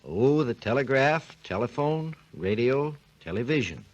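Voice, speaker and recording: Husky-voiced man lecturing on 1940s radio. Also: medium pitch, expressive, dull audio. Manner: measured, explanatory lecturing. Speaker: research engineer guest. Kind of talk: scripted 1940s radio talk.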